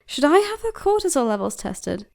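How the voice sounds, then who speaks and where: A woman's voice: high voice, very animated, normal speed, creaky, darkish tone, heavily acted. A woman playing an outspoken, protective bird doctor at an academy in a comic fandub, articulate, insistent and reproachful. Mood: exasperated. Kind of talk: dramatic comic fandub acting.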